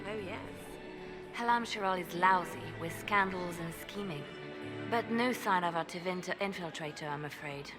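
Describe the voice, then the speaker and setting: A woman's voice: on the low side, normal speed, lightly acted. A woman playing a shrewd, sardonic spymaster. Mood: calm. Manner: cool, knowing, quietly sardonic. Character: shrewd poised female spymaster, dryly scornful. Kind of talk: video game cutscene dialogue. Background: orchestral music.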